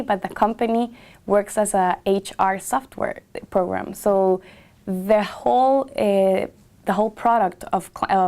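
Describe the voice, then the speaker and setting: A woman's voice: medium pitch, a little mellow. A young woman from Guatemala, a graduate student intern, describing her internship in an interview with frequent hesitations and fillers. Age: young adult. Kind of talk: interview testimonial.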